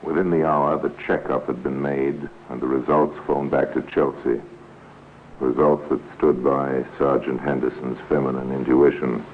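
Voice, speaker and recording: A man narrating a 1950s radio crime drama in a grave, measured, deliberate manner. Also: extremely low pitch, flat delivery. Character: solemn storyteller narrating a police case. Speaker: narrator and host. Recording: tinny, noisy 1950s radio recording.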